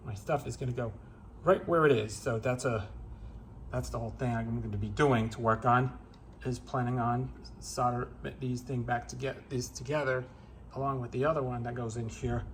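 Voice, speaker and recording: Halting man thinking aloud over noise. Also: medium pitch. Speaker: hobbyist repairer presenting own video. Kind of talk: casual unscripted how-to narration while working.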